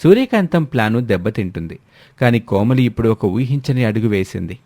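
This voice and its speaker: A man's voice: mid-range voice, very animated, a little fast, warm tone. A man narrating a scripted family story as a dramatic storyteller.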